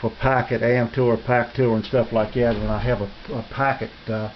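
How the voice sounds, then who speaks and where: An American man giving a casual, unscripted tour of his radio room in a halting, rambling, folksy and polite way. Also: mid-range voice, little pitch movement. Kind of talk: casual unscripted show-and-tell narration. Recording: dull lo-fi home video, faint noise.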